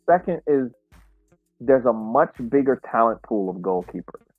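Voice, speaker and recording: An American man co-hosting a sports podcast, giving casual, measured analysis point by point over thin, phone-like audio. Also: medium pitch, lively intonation, no room sound, soft background music.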